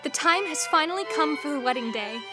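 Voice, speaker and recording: A woman's voice: high voice, animated, brisk. A woman narrator reading a scripted fairy tale for an audio drama. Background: music.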